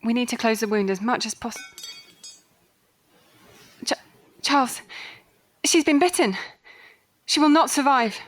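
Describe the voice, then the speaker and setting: A woman playing a brisk, forthright Victorian nurse in a period horror audio drama, echoey and distant from the mic. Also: fairly high pitch, animated. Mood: urgent.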